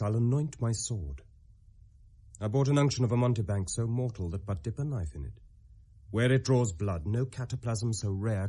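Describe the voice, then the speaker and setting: A man's voice: fairly low pitch, mellow, slightly rough. An actor with a British accent playing a hot-headed, vengeful young nobleman in a Shakespearean radio drama, in an intense, declamatory manner.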